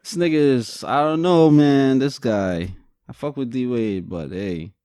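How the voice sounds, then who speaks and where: A man's voice: mid-range voice, unhurried, mellow, clean tone, no room sound, studio quality. A young man from Guyana co-hosting a podcast, blunt and joking as he poses questions in casual chat. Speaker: podcast co-host.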